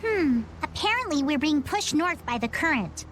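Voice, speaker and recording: A woman's voice: high-pitched, sing-song, moderate pace, a bit bright, a little hiss or hum. A woman voicing a quirky, carefree young pirate girl in a video game, with a folksy, breezy manner.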